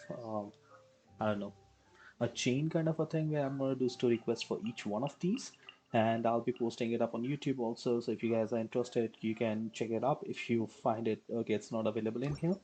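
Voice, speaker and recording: A woman streaming a game with casual, rambling, unhurried live commentary. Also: a bass voice, warm tone, poor audio. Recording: faint game music behind.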